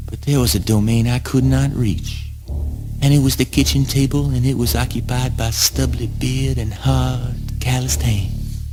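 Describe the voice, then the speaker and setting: An actor playing a grandiose, childlike man who dreams of ruling the earth, declaiming a theatrical spoken-word monologue in a deliberate, self-aggrandizing way. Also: medium pitch, close to an everyday voice. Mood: boastful, eerie. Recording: music under the voice. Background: music.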